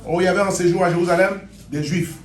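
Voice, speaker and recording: A man preaching a sermon in a church and interpreting for another preacher, animated, repeating phrases and drawing answers from the congregation. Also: high-pitched, fast talker, a little hiss or hum. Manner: animated, repeats phrases, mock gibberish for effect. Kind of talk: sermon with call and response. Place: church hall. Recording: echoey hall.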